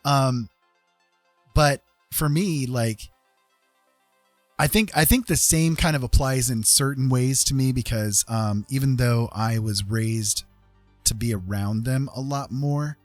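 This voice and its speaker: A man's voice: medium pitch, slow pace, no echo, soft background music. A man chatting casually as a livestream host, rambling conversationally and often checking in with his listeners. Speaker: live streamer host.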